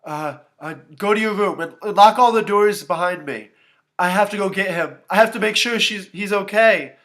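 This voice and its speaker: A man's voice: fairly high pitch, expressive, takes it slowly, fairly bright tone, pitched above the performer's own voice. A man voicing a young female babysitter in a narrated story, protective but flustered and panicky. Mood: panicked.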